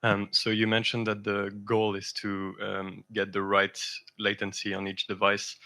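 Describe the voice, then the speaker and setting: A man's voice: on the low side, completely flat delivery, measured pace, sharp tone. A man in a conference audience putting a technical question to the speaker. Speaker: audience member asking a question. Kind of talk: conference question, thinking aloud.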